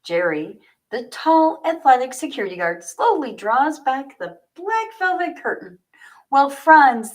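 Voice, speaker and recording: A woman livestreaming as an author, chatty and informal as she speaks straight to her viewers. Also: sits high. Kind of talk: casual livestream chat, then reading own fiction aloud.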